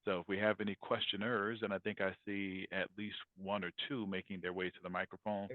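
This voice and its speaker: A man's voice: sits low, little pitch movement, fairly quick, dry recording. A man hosting audience questions formally, with courteous, ceremonious phrasing. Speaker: professor hosting a class interview. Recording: thin phone-like sound.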